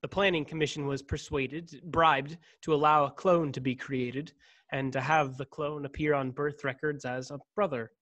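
A man's voice: on the high side, fairly even intonation, a bit bright, close to an everyday voice. A man in his thirties playing a brooding, stiffly formal clone in a science-fiction radio play. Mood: confessing, intense. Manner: measured, stiffly formal diction. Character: brooding, formal young man, secret clone of noble family. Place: home room on video call. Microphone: desk condenser microphone, headset.

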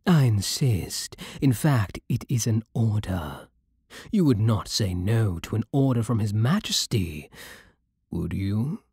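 A British man playing a courtly, possessive vampire king in an intimate ASMR roleplay, silky, formal and commanding with quiet menace. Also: lowish voice, expressive, slow pace, no room sound, clean studio sound, heavily acted. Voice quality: breathy, husky.

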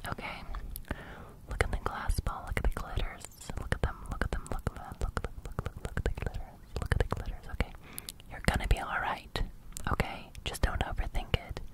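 A young woman playing a gentle sleep fairy in a whispered ASMR roleplay, murmuring breathy, repetitive reassurances close to the microphone. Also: brisk, lightly acted. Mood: reassuring. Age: young adult. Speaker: ASMR creator. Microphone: close studio microphone.

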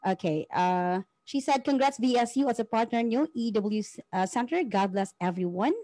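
Fairly high-voiced Filipino woman co-hosting. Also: normal speed, warm tone, no room sound. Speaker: co-host of a school-on-the-air programme. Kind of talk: casual hosting and announcements.

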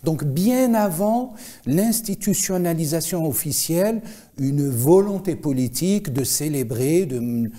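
A man in his forties giving explanations as an expert interview guest, with formal, hesitant, deliberate phrasing. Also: on the high side, lively intonation, warm tone. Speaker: guest expert, Islamic scholar. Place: TV studio, indoors.

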